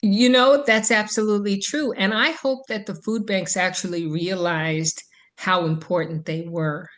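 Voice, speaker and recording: A woman co-hosting a podcast, speaking thoughtfully and hesitantly with frequent pauses. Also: mid-range voice, fairly even intonation, fairly bright tone. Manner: slow, thoughtful, hesitant with many ums. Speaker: podcast co-host. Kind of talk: casual chat.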